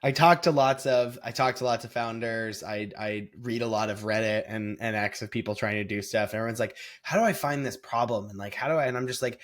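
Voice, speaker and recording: Informal, halting man with mid-range voice. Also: medium pitch. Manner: informal, halting, acts out imagined dialogue. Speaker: interviewer. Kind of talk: casual interview chat.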